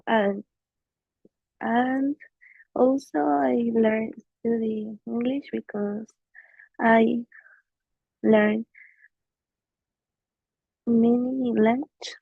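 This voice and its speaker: Female native Spanish speaker learning English, hesitant and slow, husky voice, tinny line. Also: a little high, fairly even intonation, no echo. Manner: halting, simple learner English. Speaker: English student. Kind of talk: hesitant answers in online class. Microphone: online class call. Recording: tinny phone-like roomless online call.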